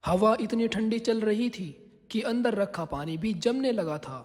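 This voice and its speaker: A man's voice: high voice, unhurried, a little mellow. A man narrating a scripted cartoon story as its storyteller. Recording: long reverb, music behind.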